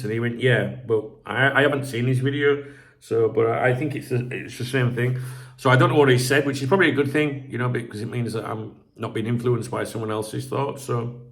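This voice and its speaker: Chatty man with a croaky sore throat. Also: mid-range voice. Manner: rambling, chatty, clears throat often, swears casually. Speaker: football channel host. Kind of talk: casual chat commentary.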